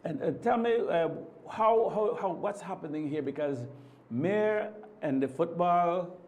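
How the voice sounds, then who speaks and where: A man's voice: fairly high pitch, expressive, muffled recording. A man hosting a live morning TV show in a studio, talking casually with guests and often repeating words as he goes. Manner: halting, repeats words, warm welcomes.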